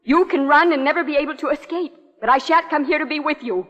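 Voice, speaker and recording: An actress playing a young wife's cool, composed dream double in a 1950s radio suspense drama, speaking calmly and deliberately. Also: female voice, sits high. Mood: calm menace. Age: young adult. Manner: calm, deliberate, coolly menacing. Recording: dull echoey 1950s radio recording.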